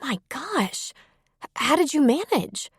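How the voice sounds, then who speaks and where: A woman's voice: high-pitched, big pitch swings, slow, no room sound, voice pushed up, exaggerated voice. Audiobook role: tender-hearted, anxious young woman, voiced by a female narrator, urgent delivery, dry close sound. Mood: shocked, concerned. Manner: worried, earnest, urgent. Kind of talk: audiobook character dialogue.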